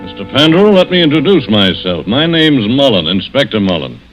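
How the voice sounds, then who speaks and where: A man's voice: mid-range voice, big pitch swings, a little fast, fairly bright tone, faint music behind. Stern, methodical male police inspector, firm delivery, dull lo-fi vintage radio drama sound. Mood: stern, accusing. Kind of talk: 1940s radio mystery acting.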